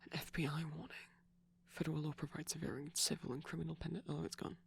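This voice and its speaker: A young adult performer playing a shy, nerdy boyfriend in a casual, soft-spoken ASMR ramble, enthusiastic but hesitant. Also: medium pitch, flat delivery, quick pace, gravelly, slight background noise.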